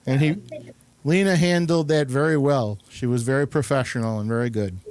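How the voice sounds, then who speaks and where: A man's voice: medium pitch, fairly even intonation, normal speed, darkish tone, no echo. A man hosting a talk show, chatting casually with a rambling, informal and complimentary manner. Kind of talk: casual studio chat, introducing guests.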